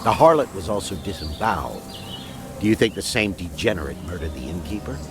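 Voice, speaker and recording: An actor playing a gruff, demanding captain of the guard in a video game, blunt and commanding with a gravelly voice. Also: male voice, deep voice, lively intonation, brisk, music in the background. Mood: businesslike. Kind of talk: dramatic video game cutscene acting.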